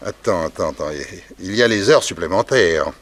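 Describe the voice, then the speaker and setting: An actor in a 1960s French radio crime serial playing a cold gang boss, authoritative, ironic and slyly menacing. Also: male voice, low-pitched, lively intonation, normal speed. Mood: sly, calculating.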